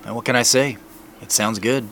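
A man's voice: mid-range voice, steady tone, normal speed, a bit bright, slight background noise, close to an everyday voice. An actor playing a wry, evasive, preoccupied businessman in a naturalistic audio drama. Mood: casual, evasive.

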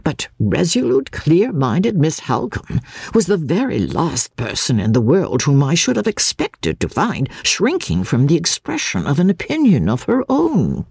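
A woman voicing an elderly gentleman lawyer who narrates a classic novel in a formal, deliberate, self-assured manner. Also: sits low, huge pitch swings, creaky, slightly rough, no room sound, studio quality, full character voice. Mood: measured, piqued. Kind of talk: first-person literary narration.

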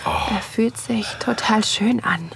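Nervous curious wife, slow intimate narration, soft whispers, creaky edges. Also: female voice, on the high side, background noise, exaggerated voice. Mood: aroused, absorbed. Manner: soft, breathy, often whispering. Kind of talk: intimate erotic audio drama narration.

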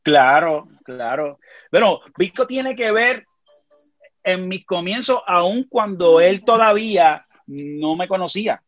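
A man with a Puerto Rican accent, a podcast guest telling animated stories in a casual conversation. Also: high voice, takes it slowly, dry recording, dull audio. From Puerto Rico. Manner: animated storyteller, frequent restarts.

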